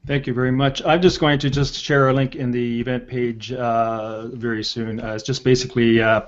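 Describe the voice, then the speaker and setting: A man in his sixties with a mid-pitched voice hosts a casual panel chat. He talks with frequent hesitations, and the sound is dull video-call audio. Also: level tone, normal speed. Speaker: host of a panel video show. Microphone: headset on a video call.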